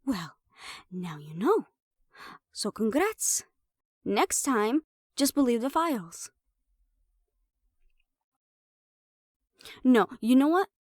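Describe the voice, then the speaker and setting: A woman's voice: fairly high pitch, very animated, takes it slowly, no room sound, full character voice. Sassy siren hybrid woman, close dry sound, acted. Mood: bitter, defensive. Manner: sassy, sweary, conversational, slipping into Spanish. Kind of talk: roleplay audio drama acting.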